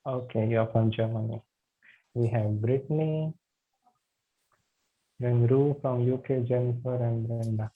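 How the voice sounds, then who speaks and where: A man's voice: medium pitch, fairly even intonation, measured pace, pauses often, slight background noise. A man with an Indian accent teaching an online yoga anatomy class, friendly and asking his students questions. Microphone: video call. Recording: tinny video call audio.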